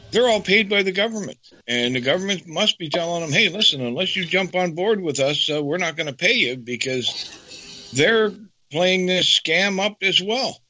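A man speaks as a regular guest on the show, matter-of-fact and sometimes trailing off mid-thought. His voice is fairly high, with a bright, tense edge. The audio is dull and lo-fi. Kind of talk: casual talk show conversation.

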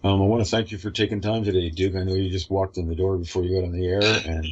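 A male radio host whose voice is giving out, so he strains to get the words across. He stays friendly and upbeat with his guest. His voice is very low and husky, and the recording sounds dull and lo-fi. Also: level tone, fast talker, a little mellow. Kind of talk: casual radio interview.

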